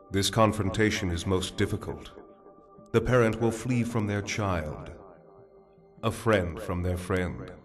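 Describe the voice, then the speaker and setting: An actor playing a solemn man who explains the judgment of souls in slow, measured words. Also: low-pitched. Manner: grave, slow, measured pronouncements. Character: solemn man guiding a soul through judgment. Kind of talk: dubbed drama acting.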